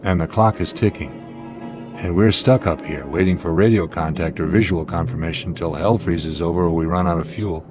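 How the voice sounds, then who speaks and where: An actor playing a steady, authoritative airline captain in a radio drama, reasoning deliberately and giving commands. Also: male voice, low voice, music in the background, natural voice. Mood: grim, determined.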